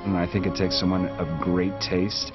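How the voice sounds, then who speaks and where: A man's voice: sits low, completely flat delivery, normal speed. A man giving commentary in an interview. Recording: dull sound under clear music.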